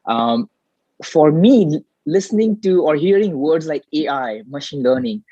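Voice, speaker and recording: A man's voice: a little high, darkish tone. A man hosting a casual interview, asking questions and chatting conversationally. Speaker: interviewer, host. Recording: dull, echoey room sound.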